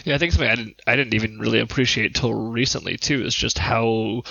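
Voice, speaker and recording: Bright, gravelly man, dry sound. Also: mid-range voice, steady tone, quick pace, no room sound. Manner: hedging, thinking aloud. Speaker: podcast speaker. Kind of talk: casual chat. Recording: dry, slightly dull sound.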